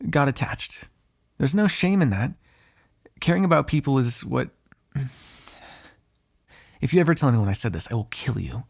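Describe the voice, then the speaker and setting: A cynical, menacing vampire played by a man in an intimate audio roleplay. His voice is gravelly and husky at a middling pitch. He talks in sardonic, drawling asides, with a grudging fondness under the threat. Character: ageless male vampire, cynical, menacing, grudgingly fond captive. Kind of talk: ASMR roleplay, one-sided dramatic dialogue.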